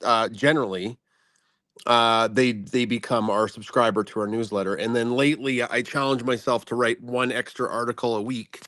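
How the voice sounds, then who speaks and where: A man speaking as a guest in a casual chat, explaining things in a halting, self-effacing way. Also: medium pitch, fairly even intonation, a bit bright, no room sound, studio quality. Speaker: guest speaker, science teacher and content creator.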